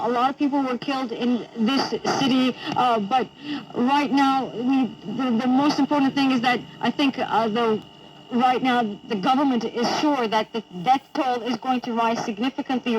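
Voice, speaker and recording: A woman's voice: a little high, steady tone, moderate pace, slight background noise, rough recording. A woman giving a live news report as a field correspondent, outdoors on location. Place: outdoors in a city centre.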